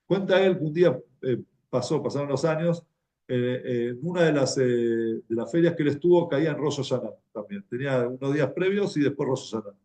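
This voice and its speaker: Mellow-voiced Argentine man telling stories. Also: medium pitch, lively intonation. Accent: Argentine Spanish. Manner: halting, conversational, repeats words as he goes. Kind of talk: informal sermon, anecdotal storytelling.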